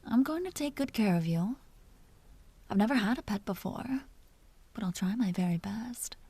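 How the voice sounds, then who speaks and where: Woman voicing a vampire duchess, husky, dark mellow tone, imperious, teasing, tender underneath, intimate roleplay drama. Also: mid-range voice, no echo. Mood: gently teasing. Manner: imperious and teasing, breaking into hushed confiding. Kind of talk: roleplay audio drama addressed to the listener.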